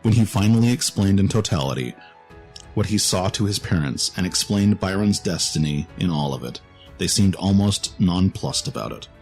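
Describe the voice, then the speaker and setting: A man's voice: low voice, expressive, normal speed, darkish tone, soft background music. A man narrating a scripted fantasy story in a dramatic storytelling style.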